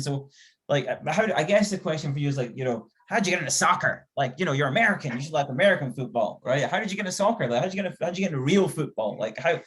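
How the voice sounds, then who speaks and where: Chatty man on a podcast. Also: on the high side. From Scotland. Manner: chatty, fast-shifting storytelling with fillers. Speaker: podcast co-host. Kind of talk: casual chat.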